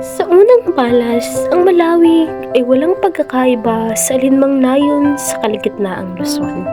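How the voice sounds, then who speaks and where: Female radio drama narrator, high register, heavy music bed. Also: lively intonation, a little slow. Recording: music playing loudly behind. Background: loud music.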